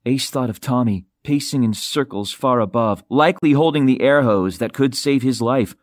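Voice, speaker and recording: A male audiobook narrator with a mid-pitched voice reads dramatic fiction. His delivery is expressive and suspenseful. The recording is close and very dry, as from a studio microphone. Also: moderate pace, dry recording.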